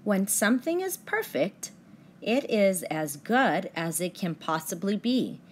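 A young woman teacher reading aloud to children with deliberate, expressive enunciation. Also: medium pitch, slight background noise.

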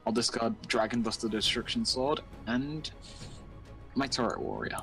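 A man's voice: mid-range voice, normal speed, a bit bright, soft background music. A young man talking casually and explaining his moves as a player in a card-game roleplay. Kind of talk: casual gameplay roleplay chat.

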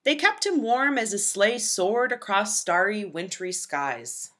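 A woman reading a children's storybook aloud with expressive, dramatic emphasis. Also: medium pitch, unhurried. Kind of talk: expressive scripted read-aloud narration for young children.

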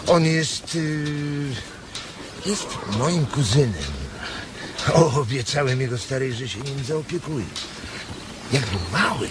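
An actor playing a sharp, protective, irritable migrant ranch worker in a radio play, gruff and quick-tongued. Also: male voice, mid-range voice, heavy background noise, lightly acted. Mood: evasive, eager. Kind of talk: radio drama acting. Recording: loud outdoor ambience with birdsong.

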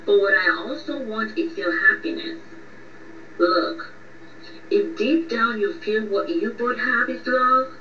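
Actress doing blunt sarcastic young woman in a radio drama, teasing her friend, slow pace, echoey muffled sound. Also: fairly high pitch, a bit bright. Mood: supportive. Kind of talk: radio drama phone conversation. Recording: muffled, echoey, distant, faint noise.

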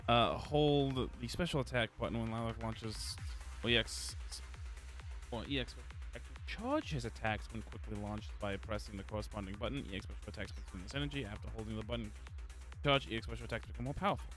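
A man's voice: on the low side, fairly quick, fairly bright tone. A man giving casual, amused gameplay commentary, reacting and reading on-screen text aloud as a game streamer. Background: game music and effects.

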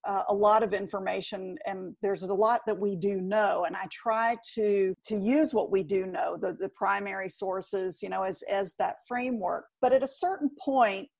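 A middle-aged woman speaks as an interview guest. She is thoughtful and articulate, at a medium pitch. The sound is dry, with very little room in it. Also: steady tone, moderate pace, vocal fry, hardly any pauses, darkish tone, no echo. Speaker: interview guest, author and art historian. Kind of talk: conversational interview answers.

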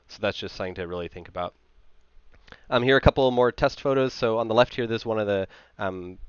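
A man, around his thirties, gives an informal technical slide presentation into a headset, explaining haltingly with frequent filled pauses. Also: lowish voice, clean tone, dry recording, lo-fi sound. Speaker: conference presenter.

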